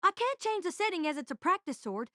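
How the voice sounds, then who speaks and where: Woman voicing a squeaky, very high fussy boy. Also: sing-song, fast, sharp tone, no room sound, studio quality, heavily acted. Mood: fussy, dissatisfied. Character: fussy, nagging teenage boy weapons technician.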